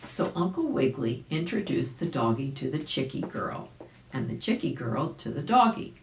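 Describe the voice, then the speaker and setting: An older woman around her seventies reading a children's story aloud, expressively and deliberately, indoors a few feet from the camera. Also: extremely low pitch, sing-song, a little mellow, a little hiss or hum. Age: older, about 70s. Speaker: volunteer storybook reader. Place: small indoor room at home. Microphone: camera a few feet away. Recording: roomy home recording.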